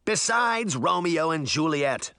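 A man's voice: medium pitch, sing-song, measured pace, gravelly, pushed and bright, dry recording, studio quality, heavily acted. A man voicing a boastful, indignant fairy trickster boy in a theatrical, crowing way for an audiobook. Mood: indignant, roaring. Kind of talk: audiobook character voice.

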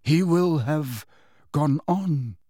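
A man narrator puts on a wistful storybook ghost, gentle and evasive. The speech is slow, formal and mournful, with hesitant phrasing, recorded close and dry. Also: fairly high pitch, no echo, pitched above the performer's own voice. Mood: quietly resigned.

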